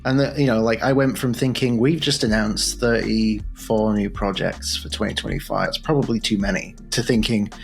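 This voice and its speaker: Creaky-voiced male podcast host. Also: fairly low pitch, steady tone, moderate pace, few pauses, faint music behind. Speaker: podcast host, game master. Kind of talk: casual interview chat.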